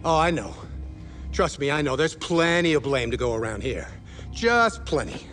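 A man's voice: on the high side, expressive, natural voice. A man as a smug, menacing crooked cop. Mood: mocking menace. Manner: mocking, taunting, unhurried. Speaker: police officer. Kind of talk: video game cutscene acting. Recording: music behind the voice.

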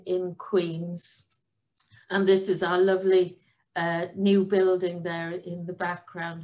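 A woman's voice: medium pitch, low-quality recording. A middle-aged woman with a Welsh accent, a professor giving a chatty presentation over a video call. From Wales. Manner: chatty, even-toned, with fillers.

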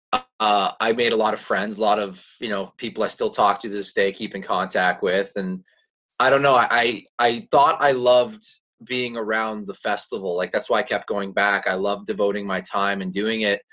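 Raspy young man on a video call. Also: on the low side. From Canada. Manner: relaxed, rambling, laughs easily. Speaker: country singer, guest. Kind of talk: casual interview chat. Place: small room at home. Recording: dull lo-fi video call audio.

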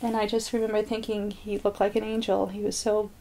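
Flat-pitched woman, reflective interview. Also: fairly quick, poor audio. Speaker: adoptive parent, interviewee. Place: living room, indoors.

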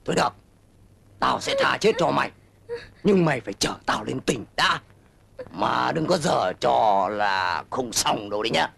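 Gravelly, blustering old cartoon wolf. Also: male voice, mid-range voice, lively intonation, unhurried, fairly bright tone, heavily acted. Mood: menacing, gloating. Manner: theatrical, boastful. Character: blustering, gullible, bullying old male wolf. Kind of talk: cartoon voice acting. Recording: music behind the voice.

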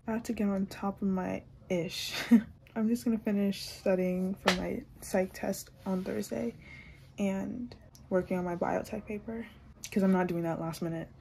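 A young woman talks to her camera in a chatty, excitable vlog. Her pitch sits in the middle range, and she sometimes slips into hushed whispers. A faint hiss sits under her voice. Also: moderate pace, vocal fry, low-quality recording. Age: young adult. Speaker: vlogger. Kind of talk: casual vlog chat.

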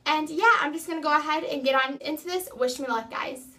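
A woman's voice: sits high, a little fast. A young woman in her teens or early twenties talking casually and earnestly to a camera in her bedroom, introducing herself as an amateur singer. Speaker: amateur singer making an audition video. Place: small bedroom indoors.

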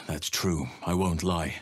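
An actor playing a wise, gentle and steadfast elf druid in a fantasy video game, speaking in measured, formal phrases. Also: male voice, sits low, no echo, studio quality, exaggerated voice. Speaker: video game companion character. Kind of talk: acted fantasy game dialogue.

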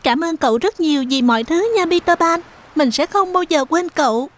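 A woman voices a gentle, polite girl in a cartoon fairy tale. The voice sits high and speaks courteously. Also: expressive, normal speed. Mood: gentle, grateful.

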